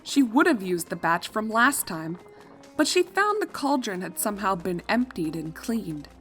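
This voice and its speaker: A woman's voice: medium pitch, very animated, moderate pace, a bit bright, soft background music. A woman reading scripted story narration as the narrator of a fan fiction.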